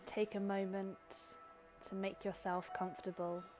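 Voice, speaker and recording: A woman leading a guided meditation in gentle, soothing, unhurried short phrases with long pauses. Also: medium pitch, level tone. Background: background music.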